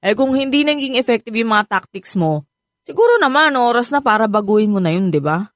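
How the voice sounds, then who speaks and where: A woman's voice: a little high, expressive, a little fast, no room sound, studio quality, natural voice. An actress playing a frank, exasperated young female friend in a Filipino radio drama, lecturing bluntly with a Filipino accent.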